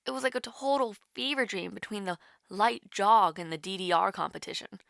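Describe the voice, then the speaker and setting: A woman's voice: medium pitch, animated, fairly quick, vocal fry, a bit bright, no room sound, clean studio sound. A young woman playing a nervous, rambling college student chatting casually with her online crush in an audio roleplay. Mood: playful, animated. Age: young adult. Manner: awkward, rambling, hesitant with many fillers. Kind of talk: naturalistic romantic audio roleplay, casual one-sided call.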